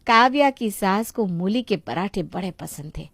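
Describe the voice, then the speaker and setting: A woman's voice: medium pitch, lively intonation, measured pace, a bit bright, no room sound. A woman reading scripted narration for a moral story as its narrator.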